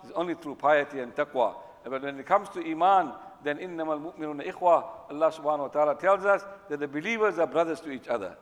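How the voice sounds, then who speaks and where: Fast-talking male preacher in an echoey hall. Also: fairly high pitch, animated. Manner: emphatic, repeats phrases for stress. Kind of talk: religious sermon. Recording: echoey hall with long reverb.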